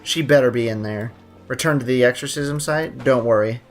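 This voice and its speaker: A man's voice: mid-range voice, normal speed, faint music behind. Joking man streaming a game. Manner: joking, playful, slangy. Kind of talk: live gameplay commentary.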